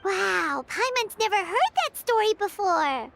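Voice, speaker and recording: A woman voicing an excitable, curious, childlike little fairy companion in an English video game, exclaiming eagerly. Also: very high voice, unhurried, creaky, exaggerated voice. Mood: curious, excited. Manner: exclaiming, eager, speaks of herself in third person.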